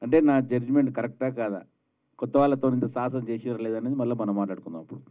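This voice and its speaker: A man's voice: mid-range voice, steady tone, rapid-fire, narrow, tinny audio. A man with a Telangana Telugu accent, a film producer insistently and confidently answering media questions at a press event.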